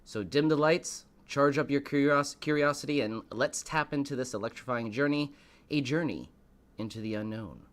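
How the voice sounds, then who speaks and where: A man hosting a live stream, a little hoarse, greeting chat and building up the evening with showy, dramatic lines. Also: mid-range voice, moderate pace. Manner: showy, dramatic build-ups addressed to viewers. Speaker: host of a live stream show.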